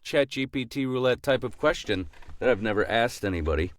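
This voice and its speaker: A man co-hosting a podcast, chatting casually and playfully and acting out quick asides. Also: medium pitch, lively intonation, no room sound. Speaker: podcast co-host.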